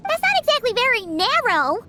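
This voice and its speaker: Squeaky, very high little fairy. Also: female voice, sing-song, a little fast, music in the background. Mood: skeptical. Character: tiny, childlike, excitable fairy companion. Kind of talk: English video game voice acting. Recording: loud game sounds behind.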